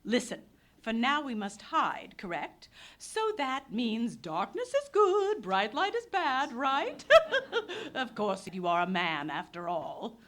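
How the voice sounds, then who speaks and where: An actress playing a bossy, teasing, plucky young woman adventurer in a live radio play, brisk and theatrical. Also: on the high side, wildly swooping pitch, a bit bright, heavily acted. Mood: teasing, mocking.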